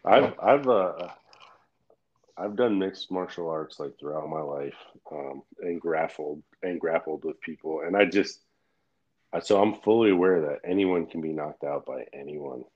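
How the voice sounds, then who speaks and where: Deep-voiced man, frank rambling chat. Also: measured pace. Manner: rambling, frank, swears freely, laughs often. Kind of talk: casual chat. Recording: dull lo-fi audio.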